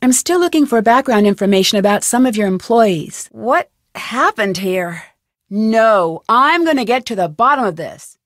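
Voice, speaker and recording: A woman's voice: fairly high pitch, lively intonation, moderate pace, no echo, clean studio sound, lightly acted. A young American woman voicing an inquisitive, polite and determined amateur detective in an adventure video game, with an earnest, questioning delivery. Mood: curious, earnest. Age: young adult. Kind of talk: scripted adventure game voice acting.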